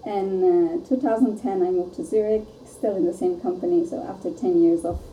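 A woman's voice: on the low side, fairly quick, warm tone. A woman from Turkey, a startup co-founder, chatting in a friendly way as a podcast guest. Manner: friendly, laughs easily. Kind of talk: casual podcast chat. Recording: distant, roomy with faint noise.

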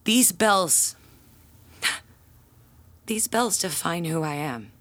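Proud church bell ringer, stubborn, defensive, theatrical, unhurried, very expressive pitch, audio drama. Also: female voice, exaggerated voice. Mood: proud, bitter. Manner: defensive, dramatic, sighing and groaning. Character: stubborn, possessive adult female church bell ringer, self-righteous. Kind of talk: naturalistic audio drama acting.

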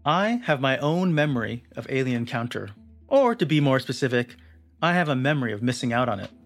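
A man's voice: medium pitch, expressive, a little fast. A young man reading scripted documentary narration with a dramatic storytelling delivery. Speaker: narrator of a feature article. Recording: music bed under the voice. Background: music.

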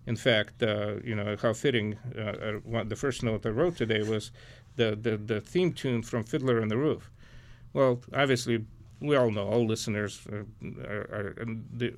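A middle-aged man from Ukraine, speaking as an interview guest in an earnest, reflective way and often searching for words. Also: on the low side. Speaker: guest, nonprofit founder. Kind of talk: conversational interview.

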